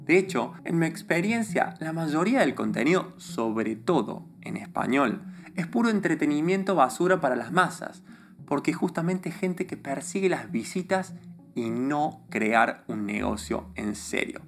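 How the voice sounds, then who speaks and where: A man in his thirties from Argentina, with a softened Argentine accent, confidently advising viewers straight to camera from a small studio with a desk microphone. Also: a little high, lively intonation, unhurried, soft background music. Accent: neutralized Argentine Spanish. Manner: confident, emphatic, gestures a lot with his hands. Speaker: YouTube creator. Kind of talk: direct-to-camera advice talk. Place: small studio room before a plain grey backdrop. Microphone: desk microphone in front of him.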